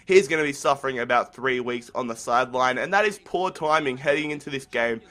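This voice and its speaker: A young man commentating his football video game play while sick with the flu, talking casually and getting excited at chances. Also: medium pitch, brisk. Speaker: gaming commentator.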